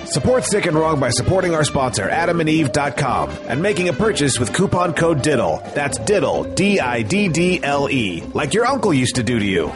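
A man doing a mock-cheerful sponsor read like an over-bright pitchman, with deadpan crude jokes. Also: fairly quick, hardly any pauses. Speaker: ad announcer. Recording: ad read over loud music bed.

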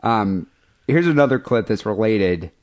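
Animated man hosting an irreverent podcast. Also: lowish voice, measured pace. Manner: irreverent, mock-apologetic. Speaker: podcast host. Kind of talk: casual comic banter.

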